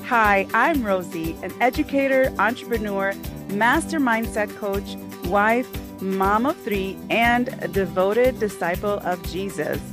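A woman's voice: medium pitch, measured pace, music in the background. A woman hosting a podcast, speaking with enthusiasm and a faith-centered focus, recorded on a close mic. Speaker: podcast host. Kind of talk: warm podcast interview.

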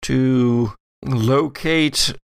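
A man's voice: medium pitch, gravelly, clean tone, dry recording, studio quality. A man playing a musing, tattooed occultist in a horror role-playing game, speaking hesitantly and choosing his words. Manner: hesitant, musing, drawn-out phrasing.

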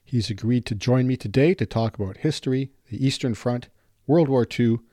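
A man hosting a history podcast, reading a measured intro then asking questions. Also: mid-range voice, mellow, no room sound, studio quality. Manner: measured, reads intro then brief acknowledgements. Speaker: podcast host. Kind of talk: scripted intro then interview.

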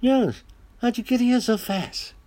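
A man's voice: extremely high pitch, lively intonation, unhurried, dark tone, voice pushed up. A man voicing a baffled, sweary male hospital patient in a radio play, given to exclaiming. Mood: confused.

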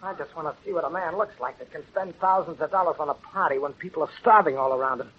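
Rapid, husky, desperate farmer. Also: male voice, fairly high pitch. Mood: indignant. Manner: accusing, impassioned. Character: desperate, bitter out-of-work farmer with hungry family. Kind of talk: 1930s radio acting. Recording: muffled, lo-fi 1930s radio broadcast.

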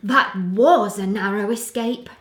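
A woman's voice: medium pitch, slow pace, a bit bright. A woman voicing a polite, curious young girl who muses in a prim, well-mannered way. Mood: musing. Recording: echoey reverberant room.

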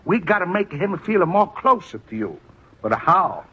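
Scheming, high-pitched Italian immigrant restaurateur. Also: male voice, big pitch swings, moderate pace. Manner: broken English, blustering and conniving. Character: scheming, boisterous middle-aged Italian immigrant restaurant owner. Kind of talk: old-time radio sitcom acting. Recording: dull, noisy vintage radio recording.

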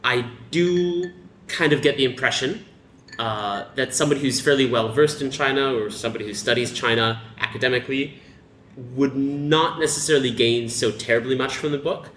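A man from the United States with an American accent, giving his view in a measured, articulate way in a group discussion. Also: medium pitch, fairly bright tone. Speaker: discussion participant. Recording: roomy echo, faint background noise.

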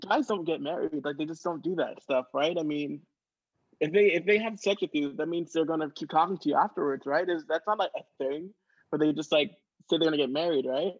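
A woman's voice: low-pitched, a little fast, slightly rough. Woman acting a whiny lovesick ditz, slightly muffled. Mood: whiny, pleading. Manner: rambling valley-girl whining. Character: whiny lovesick ditzy woman seeking boy advice. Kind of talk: improvised comedy sketch.

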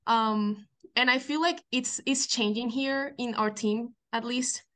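A woman's voice: fairly high pitch, fairly even intonation, unhurried, fairly bright tone. A young woman from Colombia with a Colombian Spanish accent, Spanish being her first language, speaking as an interview guest in a hesitant way with lots of "like" fillers. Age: young adult. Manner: hesitant, many "like" fillers, laughs often. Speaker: college diver, guest. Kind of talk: casual interview chat.

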